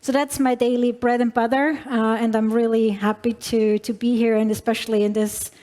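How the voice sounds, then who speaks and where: A woman's voice: fairly high pitch, little pitch movement, normal speed, mellow. A woman with a non-native English accent giving a conference keynote in a large hall, with many fillers and restarts as she addresses the audience. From Alpine region. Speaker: keynote speaker. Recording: long hall reverb.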